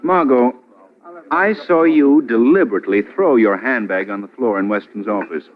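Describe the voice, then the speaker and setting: An actor playing an urbane, confident gentleman sleuth with polished banter in 1940s radio drama style. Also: mid-range voice, moderate pace, close to an everyday voice. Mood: teasing, puzzled. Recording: muffled, tinny old radio recording.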